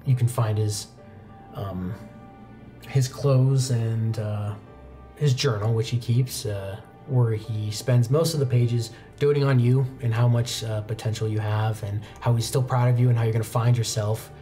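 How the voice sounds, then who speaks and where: A young man with a mellow, dark-toned voice runs a tabletop roleplaying game as game master. He tells the story in measured phrases with pauses, and soft music plays behind him. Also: medium pitch, fairly even intonation. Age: young adult. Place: indoor studio set, seated at a desk. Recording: background music under the voice.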